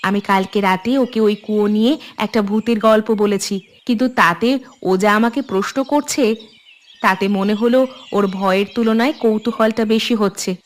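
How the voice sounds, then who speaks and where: A woman playing a caring elder sister and mother in an animated family drama, coaxing and concerned. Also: medium pitch, normal speed, close to an everyday voice. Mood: gentle, concerned.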